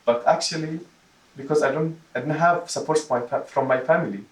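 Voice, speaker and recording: A man from Jordan giving a prepared personal speech to a club, speaking haltingly and repeating words. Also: on the high side. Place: meeting room, recorded from a distance. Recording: roomy echo, distant microphone.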